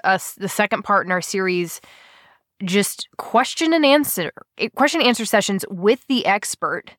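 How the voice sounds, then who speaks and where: A woman's voice: medium pitch, a bit bright, no echo, clean studio sound. A woman hosting a podcast in a casual, eager, upbeat way, her voice slightly nasal from a cold. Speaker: podcast host.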